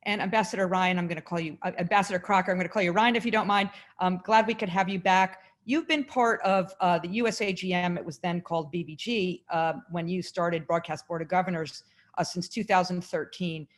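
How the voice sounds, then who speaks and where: A woman's voice: lowish voice, fairly even intonation, fairly quick. A woman moderating a panel in a conversational way, putting questions to guests.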